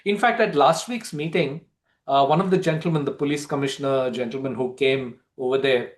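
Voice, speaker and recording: A man's voice: medium pitch, brisk. A man with an Indian accent in an informal book club discussion, explaining and often rephrasing as he goes.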